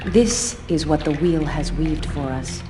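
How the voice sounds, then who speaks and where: An actress playing a young, dutiful and stubborn sorceress leader in a fantasy game, with a solemn, firm, formal delivery. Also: female voice, sits low, unhurried. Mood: firm, reassuring. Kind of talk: dramatic fantasy game cutscene acting. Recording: game music behind the voice.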